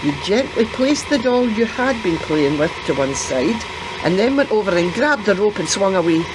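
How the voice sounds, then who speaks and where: A woman's voice: mid-range voice, animated, normal speed, background noise, close to an everyday voice. An actress playing a chatty, doting and cheeky old grandmother in a radio play, fussing and teasing as she talks. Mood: warm, reminiscing.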